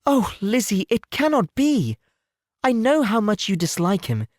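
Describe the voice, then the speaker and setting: A man voicing a sweet, earnest young gentlewoman in an audiobook, exclamatory, affectionate and anxious. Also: high-pitched, very animated, unhurried, dry recording, clean studio sound, pitched above the performer's own voice. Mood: disbelieving. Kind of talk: audiobook character voice.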